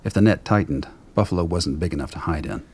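A man with a very deep, gravelly voice narrates a scripted radio drama. His storytelling is measured, dry and literary, with faint noise behind it. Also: a little mellow.

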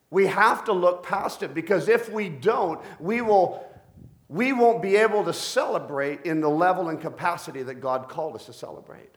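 Middle-aged man, pastor, deep voice, playful jokes, sermon. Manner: playful, joking with the congregation.

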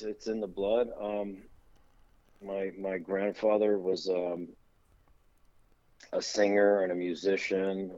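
A man's voice: deep voice, a little mellow, no room sound, muffled recording. A middle-aged man, a guest on an interview show, telling easygoing, rambling anecdotes about growing up. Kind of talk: casual storytelling interview.

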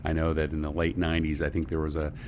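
A man's voice: very deep voice, monotone, fast talker, noisy background. A man hosting an interview podcast, chatty and rambling, with frequent restarts. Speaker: podcast host.

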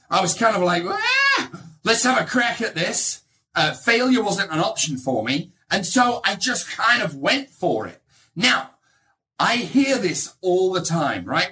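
Middle-aged motivational speaker from England. Bright, forceful voice, emphatic and conversational, widely swinging intonation. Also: medium pitch. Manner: emphatic, conversational, checks in with rhetorical tags. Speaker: motivational speaker, real estate agent. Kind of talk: motivational talk to an audience.

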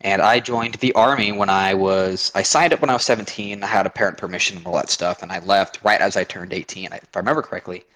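A man's voice: low voice, fairly even intonation, fast talker, few pauses, fairly bright tone, poor audio. A man in his twenties from Oregon, a guest on a podcast, telling his story in a rambling way with many restarts. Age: 20s. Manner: rambling, frequent restarts and fillers. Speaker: guest, army veteran. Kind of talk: casual podcast interview.

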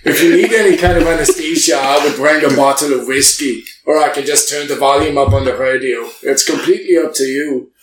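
A man's voice: fairly high pitch, fairly even intonation, a little fast, hardly any pauses. Man in a noisy, echoey room. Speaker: podcast host. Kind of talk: casual comic read-aloud of joke text replies. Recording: echoey, distant mic, clear background noise.

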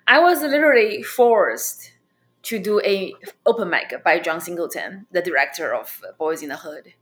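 A young adult woman from China with a Chinese accent, a comedian telling her story as an interview guest, halting with many fillers and restarts. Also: lowish voice, animated.

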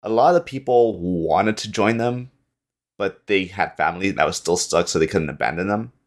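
Male podcast guest, low voice, informal storyteller who acts out bits of dialogue. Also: moderate pace. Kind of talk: casual storytelling.

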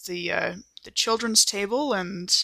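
A woman playing in a tabletop roleplaying game, talking casually and haltingly as she thinks aloud. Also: medium pitch, gravelly, pushed and bright, dry recording, poor audio. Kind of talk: casual in-character game chat.

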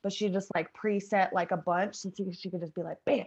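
A woman's voice: mid-range voice, steady tone, fairly quick, darkish tone, breathy edge, muffled recording. A woman with an American accent chatting casually and animatedly on a video call, with the odd swear word. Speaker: podcast cast member. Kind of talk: casual chat.

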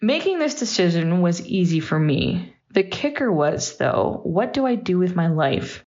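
A woman's voice: fairly low pitch, sing-song, unhurried, darkish tone. A woman in her thirties giving a personal, reflective monologue as a podcast host, candid and emphatic, in short punchy sentences. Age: 30s. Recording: dull, slightly lo-fi voice recording.

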